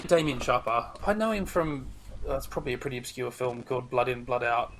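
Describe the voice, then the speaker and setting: A man's voice: medium pitch, brisk, slight background noise. A man hosting a casual, chatty film discussion. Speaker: podcast host.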